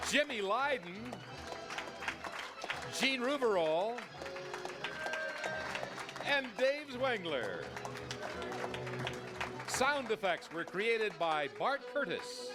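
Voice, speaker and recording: A man reading scripted credits as an announcer in old-time radio style. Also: high-pitched. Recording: live stage, loud music and crowd.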